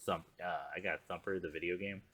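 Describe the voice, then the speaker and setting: A man's voice: low voice, steady tone, fairly quick, fairly bright tone. A tired man co-hosting a podcast, in casual chat, rambling and joking crudely with lots of laughs. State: tired, low voice. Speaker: podcast co-host.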